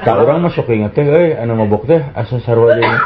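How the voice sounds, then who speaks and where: A man telling comic folk tales and jokes, doing quick voices for the characters in his stories. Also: mid-range voice, crowd noise. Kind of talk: comic storytelling in a duo. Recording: dull lo-fi recording with faint hiss.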